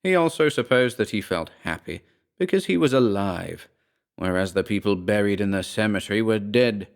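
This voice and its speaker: Low-voiced male horror story narrator. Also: lively intonation, moderate pace, creaky.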